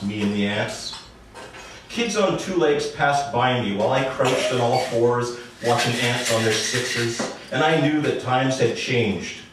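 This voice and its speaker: A man giving a live author's reading of first-person prose in a measured, dry storytelling manner. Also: mid-range voice. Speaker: author reading at a literary event. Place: indoor literary party, before an audience. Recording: echoey room, distant mic, faint noise.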